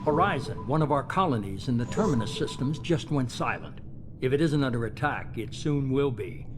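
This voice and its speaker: A man playing a calculating, authoritative spymaster in a video game, speaking in a measured, commanding way. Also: medium pitch, animated, over music, lightly acted. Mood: grave, calculating. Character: calculating, authoritative middle-aged male spymaster boss.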